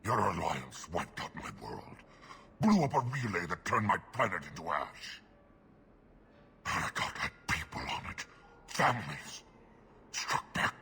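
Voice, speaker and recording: A man voicing a bitter, grieving, wounded alien in a video game, halting and accusing, with a gravelly voice. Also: sits low, sing-song, sharp tone, slight background noise, full character voice. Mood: bitter grief.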